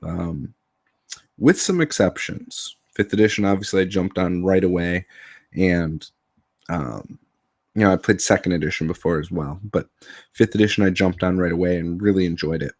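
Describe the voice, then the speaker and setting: A man with a hoarse voice from being sick, talking casually to camera about tabletop games, rambling with many ums and uhs. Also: deep voice, moderate pace, a little mellow, no echo, studio quality. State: hoarse from illness. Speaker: tabletop RPG YouTuber.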